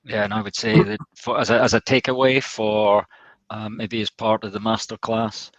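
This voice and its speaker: A man with a fairly low voice acts as a webinar host, speaking in a semi-formal, conversational way. The sound is very dry, with no room echo around him. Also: little pitch movement, moderate pace, a bit bright, lo-fi sound.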